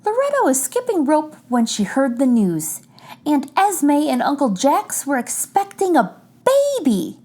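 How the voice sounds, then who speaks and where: High-pitched woman reading a children's story aloud. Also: wildly swooping pitch, dark tone. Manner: addresses young listeners directly, clear deliberate phrasing. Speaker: host reading a children's picture book aloud. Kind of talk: expressive read-aloud storytelling for young children.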